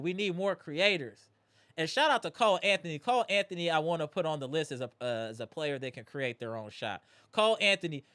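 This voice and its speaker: A man hosting a live postgame basketball reaction stream, animated and greeting viewers in the chat, his voice strained from yelling. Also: a little high, no echo, clean studio sound. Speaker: livestream host.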